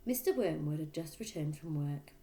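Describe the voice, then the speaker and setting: A woman reading a children's book aloud, in expressive, emphatic storyteller narration. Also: deep voice, normal speed. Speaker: storybook reader, teacher.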